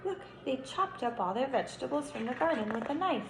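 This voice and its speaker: A young woman teaching kindergartners in a read-aloud style, instructive and animated, stretching words for emphasis. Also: high voice, fairly quick. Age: young adult. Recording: roomy echo, faint background noise.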